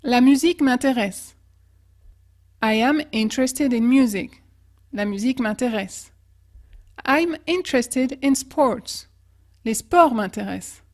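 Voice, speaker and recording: High-voiced woman teaching English slowly. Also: darkish tone. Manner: slow, careful model pronunciation of example phrases. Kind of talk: language lesson with example phrases.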